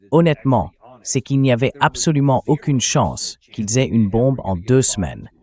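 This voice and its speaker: A man hosting a political talk show, explaining his argument in a measured way as a synthetic dubbed read. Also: mid-range voice, darkish tone. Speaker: host.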